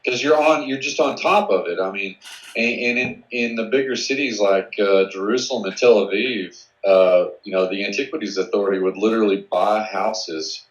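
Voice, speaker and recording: A man is a guest on an interview podcast. He speaks in a measured way and often restarts his sentences. His low voice comes through dull and lo-fi, with a roomy echo. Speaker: guest researcher. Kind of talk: conversational interview.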